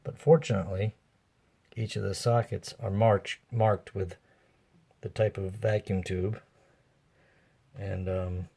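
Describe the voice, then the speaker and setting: This is a man with a very low voice and plenty of fry in it. He narrates a workbench job casually and without a script. His delivery is halting, as if working out each step aloud while he goes. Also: little pitch movement, mellow. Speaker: host of a radio repair vlog.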